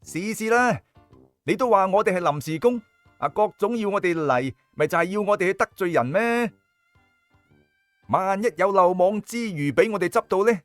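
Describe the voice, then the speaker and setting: High-voiced, brash Cantonese small-time gangster played by a man. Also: lively intonation, moderate pace, dry recording, faint music behind. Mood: coaxing. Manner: brash, needling. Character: pushy, thick-skinned small-time male gangster. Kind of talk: audiobook character acting.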